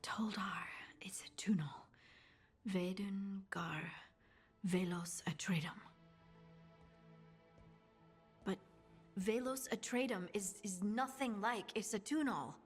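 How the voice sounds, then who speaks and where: An actress voicing a dreamy, earnest dwarf woman scholar in a video game, musing haltingly in a breathy voice. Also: mid-range voice. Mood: absorbed, murmuring. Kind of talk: video game dialogue acting. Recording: long hall reverb, music underneath.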